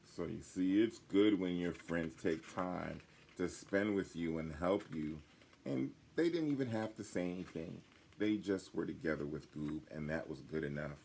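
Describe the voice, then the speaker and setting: An older man, a grandfather, reading a children's story aloud in a gravelly, husky voice and pausing to explain things and ask young listeners questions. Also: sits low, darkish tone, slight background noise.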